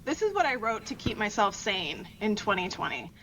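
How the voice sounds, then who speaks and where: A woman's voice: medium pitch, big pitch swings, normal speed, fairly bright tone, soft background music, rough recording. A woman author chatting casually as a podcast guest in an agreeable, lightly wry way. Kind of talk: casual chat.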